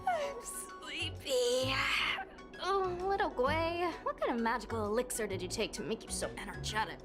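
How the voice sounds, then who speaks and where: A woman's voice: sits high, big pitch swings, moderate pace, over music. A woman voicing a sleepy, easygoing young female ghost hunter in a video game, with a drowsy, casually complaining delivery. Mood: weary, grumbling.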